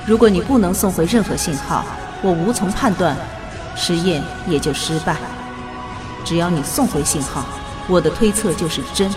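A woman plays a decisive research scientist in an audio drama. She speaks with serious, precise insistence while music plays clearly behind her. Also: on the low side, moderate pace, natural voice. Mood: earnest. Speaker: voice actor.

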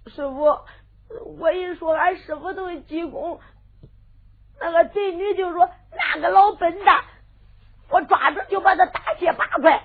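A woman's voice: extremely high pitch, unhurried. A woman voicing a small, tearful young male apprentice in a folk storytelling performance, pleading through sobs. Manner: sobbing, pleading delivery. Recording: lo-fi phone-like sound.

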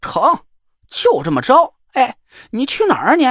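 A man's voice: extremely high pitch, wildly swooping pitch, moderate pace, fairly bright tone, breathy edge, dry recording, studio quality, pitched above the performer's own voice, heavily acted. A man voicing a cocky, teasing middle-aged man with a bantering, mock-boastful drawl. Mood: indignant.

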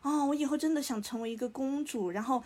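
Female podcast co-host, fairly high voice with a husky grain, casual conversational delivery. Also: fast talker, a little mellow. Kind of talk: casual chat.

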